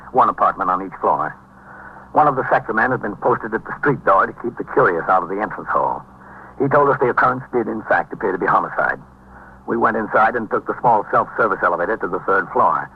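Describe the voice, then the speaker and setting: A no-nonsense precinct captain narrating an old 50s radio cop show, bit raspy, muffled sound. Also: male voice, fairly low pitch. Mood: matter-of-fact. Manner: clipped, businesslike, commanding. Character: brisk, authoritative male police precinct captain. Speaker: narrator and lead actor. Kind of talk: 1950s radio police drama acting, scripted narration. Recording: dull 1950s radio recording.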